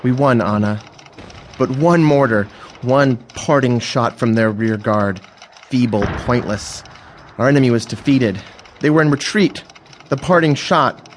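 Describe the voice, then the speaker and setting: An actor playing an earnest young blinded officer in an audio drama, formal, articulate and heartfelt. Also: male voice, mid-range voice, a little slow, a little hiss or hum, close to an everyday voice. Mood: anguished, proud. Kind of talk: full-cast audio drama acting.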